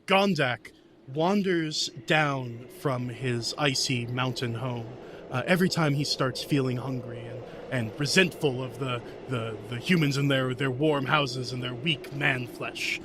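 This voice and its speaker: A man's voice: medium pitch, measured pace, noisy background. A man playing a tabletop game, narrating his character in the third person with dramatic relish.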